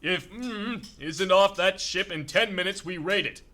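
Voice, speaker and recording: Man as a high, tense commanding captain. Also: unhurried, bright, forceful tone. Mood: urgent. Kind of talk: radio drama acting.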